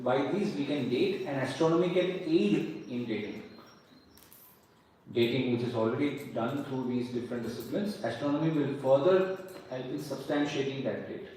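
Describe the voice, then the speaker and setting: A man's voice: medium pitch, normal speed, darkish tone, a little hiss or hum. A man giving a lecture on history and archaeology and answering audience questions, explaining patiently and repeating key points. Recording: echoey hall, mic far off, faint ambience.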